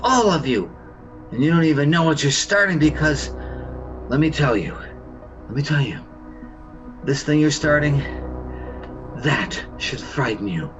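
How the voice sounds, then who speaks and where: A man's voice: on the high side, animated, measured pace, long pauses, highs cut off, over music. A man playing an accused suburban husband, bewildered, defensive and indignant, in a radio play. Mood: furious, warning.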